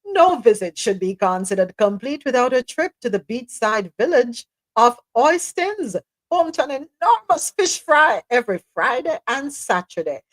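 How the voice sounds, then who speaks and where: A Jamaican woman hosting a live internet radio show. She has a bright, high-ish voice that leaps up and down, and she drops into patois between laughs. The sound is dry and close. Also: moderate pace, no echo. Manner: animated, laughing, slips into patois. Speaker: host. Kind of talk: casual live radio chat, reading news.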